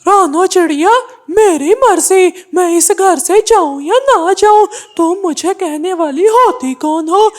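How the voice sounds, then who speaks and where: Very high, slow, taunting bully crow voiced by a woman. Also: a little mellow. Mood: stubborn, threatening. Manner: taunting, threatening. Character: mean bullying male crow, mocking and stubborn. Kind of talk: cartoon story dialogue.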